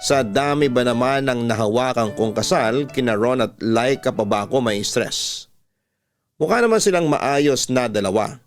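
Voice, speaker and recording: A man's voice: medium pitch, animated, normal speed. A man with a Filipino accent narrating a scripted first-person story in radio drama style, with music behind him.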